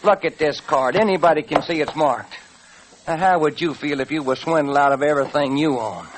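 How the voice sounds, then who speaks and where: An actor playing an excitable, indignant young cowboy rancher in an old Western radio drama. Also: male voice, on the high side, a little fast, natural voice. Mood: angry, demanding. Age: young adult. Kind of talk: 1940s Western radio acting. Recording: noisy old radio transcription.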